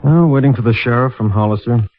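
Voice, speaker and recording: A man's voice: mid-range voice, fast, close to an everyday voice. A man playing a matter-of-fact detective in a 1950s radio drama, with casual, clipped delivery. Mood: breezy, matter-of-fact. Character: breezy, matter-of-fact male police detective, grown man. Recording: very muffled, noisy 1950 radio recording.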